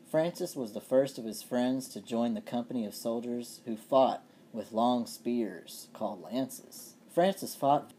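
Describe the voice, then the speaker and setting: Expressive reader of a children's story. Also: mid-range voice, slight background noise, rough recording. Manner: playful, expressive storytelling with asides. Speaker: story reader for all ages. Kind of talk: children's story read aloud.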